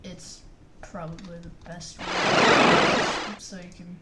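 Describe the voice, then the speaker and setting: A woman's voice: on the low side, flat delivery, takes it slowly, very noisy. A woman giving casual, rambling commentary on a game while she plays it. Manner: narrates on-screen action while playing, loose and rambling. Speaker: YouTuber reviewing a game mod. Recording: roomy, distant mic under loud game music.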